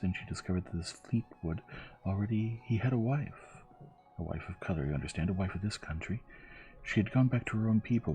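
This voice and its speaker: A man voicing a sly, excitable young French lady's maid in an audiobook, with a French accent and an emphatic, pleading manner. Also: low voice, pitched above the performer's own voice, rough recording. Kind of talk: audiobook character voice.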